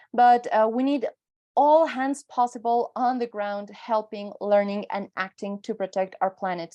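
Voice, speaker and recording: A woman's voice: medium pitch, mellow. A woman from Mexico, giving an earnest presentation as a conservation educator on an online panel.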